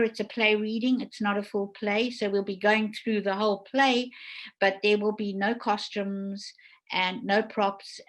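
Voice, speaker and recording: A woman hosting an online panel talk, explaining things deliberately and relaying questions from attendees. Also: mid-range voice, poor audio. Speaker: host and theatre director.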